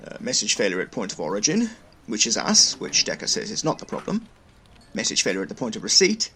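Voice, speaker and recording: An actor playing a brisk, wordy station logistics manager in a sci-fi audio drama, explaining things with dry wit. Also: male voice, fairly low pitch, huge pitch swings, bright, forceful tone, slight background noise, heavily acted. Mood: careful, apologetic. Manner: chatty, wry, long-winded explanations.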